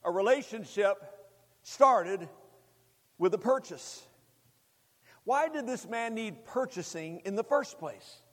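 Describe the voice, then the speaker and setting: A man in his fifties preaching a sermon, speaking emphatically with deliberate repetition and addressing the congregation directly. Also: high-pitched, expressive. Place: large hall, preaching to a congregation. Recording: long hall reverb.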